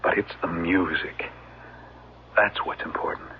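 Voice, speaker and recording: A man acting in a radio drama as an ancient, melancholy musician devoted to his art, wistful, hesitant and measured. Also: sits low, steady tone, slight background noise. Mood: wistful, resolute. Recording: dull, lo-fi radio broadcast.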